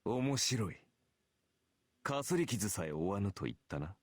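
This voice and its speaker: A man's voice: lowish voice, big pitch swings, fairly quick, no room sound, studio quality. A man voicing a cocky, cool, sardonic warrior in a visual novel game. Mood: amused challenge. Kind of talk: Japanese visual novel voice acting.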